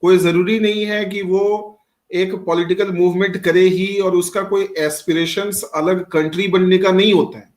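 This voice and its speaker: A man's voice: sits high, steady tone, moderate pace. A middle-aged man from Bihar teaching a class, switching between Hindi and English, asking rhetorical questions and calling on students by name. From Bihar, India. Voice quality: speaks Hindi with much English mixed in, quizzes students by name. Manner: asks rhetorical questions and answers them himself. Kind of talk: classroom lecture.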